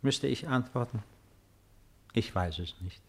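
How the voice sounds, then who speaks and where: An actor playing a solemn, weary ancient emperor in a radio play, proud and pensive, with grave, measured delivery. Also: male voice, mid-range voice, darkish tone, husky. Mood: stern, brooding. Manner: slow, grave, measured declamation. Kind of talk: 1950s German radio play acting.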